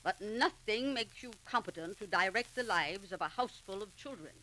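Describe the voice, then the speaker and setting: A woman's voice: mid-range voice, animated, moderate pace, pushed and bright. An actress playing a stern, condescending middle-aged society woman in a 1940s radio serial, lecturing in a clipped manner. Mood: scornful, threatening. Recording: crackly 1940s radio recording.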